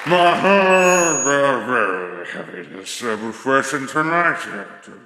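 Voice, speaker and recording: A man playing a dazed doctor rambling haltingly through a garbled speech in an audio drama. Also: medium pitch, animated, crowd noise, close to an everyday voice.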